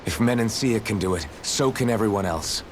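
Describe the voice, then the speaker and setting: An actor playing a gentle, idealistic young swordsman in a video game, speaking with measured, sincere reassurance. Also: fairly low pitch, background noise, close to an everyday voice. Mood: earnest, hopeful. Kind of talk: video game cutscene dubbing.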